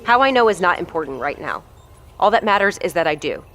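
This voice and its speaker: A woman's voice: lowish voice, sing-song, a little fast, fairly bright tone. An actress playing a blunt, foul-mouthed woman who heads a research facility, talking in a commanding way in an audio drama. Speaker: facility head.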